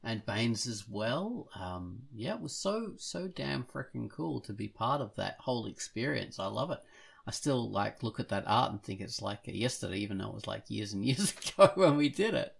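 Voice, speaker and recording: Creaky-voiced enthusiastic podcast host. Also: medium pitch, big pitch swings, moderate pace. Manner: enthusiastic, effusive. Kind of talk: casual podcast chat.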